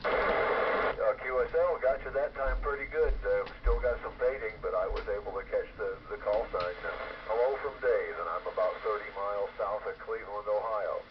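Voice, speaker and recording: Casting: American male ham operator, lowish voice, easygoing and halting, through a muffled radio link with heavy static. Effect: FM shortwave radio transmission. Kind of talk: casual radio chat. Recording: muffled, noisy fading ham radio signal.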